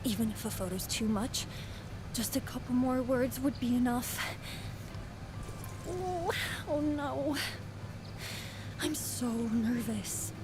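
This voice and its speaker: High, nervous fangirl. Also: slow pace, pauses often, noisy background. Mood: starstruck, nervous. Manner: nervous, breathy stammering, earnest. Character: shy, starstruck young female fan of an idol. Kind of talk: anime video game voice acting.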